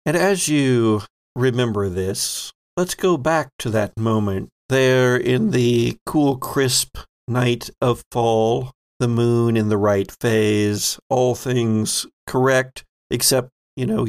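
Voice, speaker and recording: Male game master, unhurried, gravelly fry, suspenseful descriptive storytelling. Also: medium pitch. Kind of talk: improvised narration of a tabletop horror game.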